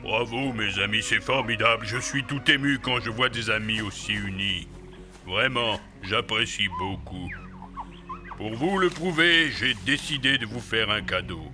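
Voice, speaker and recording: A man's voice: fairly low pitch, natural voice. A man as a kindly, wise cartoon mentor. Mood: moved, pleased. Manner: benevolent, measured, theatrical. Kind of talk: cartoon dub acting. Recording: music under the dialogue.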